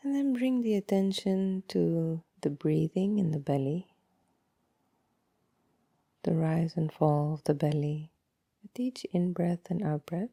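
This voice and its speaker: A woman guides a body scan meditation in a lowish, husky voice, recorded close and dry. She is calm and unhurried, leaving long pauses between gentle instructions. Her soft, breathy delivery often drifts toward a whisper. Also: dry recording.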